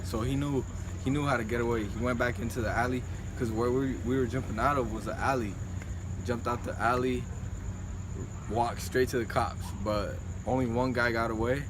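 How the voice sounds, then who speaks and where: A young man chats casually with a friend in slangy, profanity-laced storytelling, acting out quoted lines as he goes. His voice sits at an average pitch, with clear urban background noise behind it. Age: young adult. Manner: slangy, profane, animated retelling with acted-out quotes.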